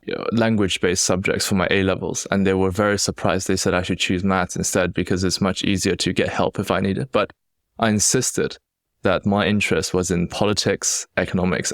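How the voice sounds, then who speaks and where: A young man with a very low voice and an accent that blends Chinese and British English tells his own story on a solo podcast. He talks in an earnest, reflective way and often restarts his sentences. The recording is dry and close, with no room sound. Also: no echo. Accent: Chinese-accented English with British influence. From China. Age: young adult. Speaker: podcast host.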